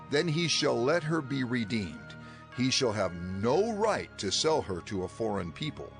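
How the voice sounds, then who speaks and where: A man playing a grave prophet and lawgiver, solemn and deliberate, in a dramatized scripture recording. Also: mid-range voice, gravelly, a bit bright, breathy edge, exaggerated voice.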